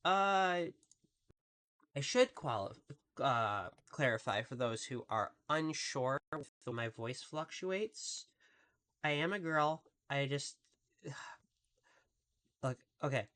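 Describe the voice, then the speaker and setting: Deep-voiced goth woman streaming. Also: lively intonation, slow pace, long pauses, fairly bright tone. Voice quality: really deep voice. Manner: rambling, profane, chatting with viewers. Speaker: VTuber streamer. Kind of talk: casual live game stream commentary.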